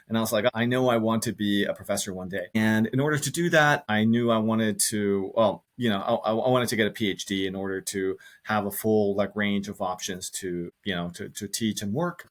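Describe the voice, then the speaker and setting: Low-voiced earnest middle-aged man. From Costa Rica. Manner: earnest, thinks aloud with repeated words. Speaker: podcast guest, engineering professor. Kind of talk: reflective conversational interview.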